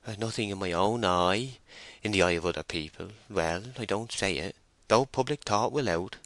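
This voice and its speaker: A man's voice: low voice, normal speed, a bit bright, no echo. A man voicing a timid, muddled farm labourer with a West Country accent and a hesitant, apologetic manner, in an audiobook story. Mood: nervous, humble. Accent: English West Country.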